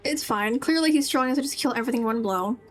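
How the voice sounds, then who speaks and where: A woman's voice: high voice, very fast. A woman casually commenting on a game as she streams, musing and rambling as she goes. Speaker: streamer playing a story game.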